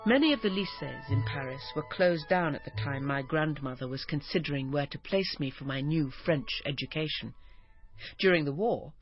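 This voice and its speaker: A woman narrating a first-person memoir expressively, turning breathy and whispered at moments of feeling. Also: low voice. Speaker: audiobook narrator. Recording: dull, dry recording.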